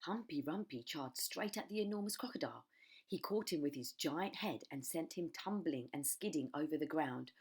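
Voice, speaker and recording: A woman's voice: low voice, expressive, moderate pace, a little mellow, breathy edge. A woman narrating a children's storybook like a teacher, with dramatic, careful phrasing.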